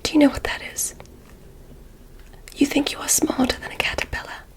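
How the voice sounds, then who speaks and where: A woman voicing a polite, curious alien doctor in a whispered ASMR roleplay, gently formal as she explains things. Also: fairly quick, lightly acted. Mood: curious, gently teasing.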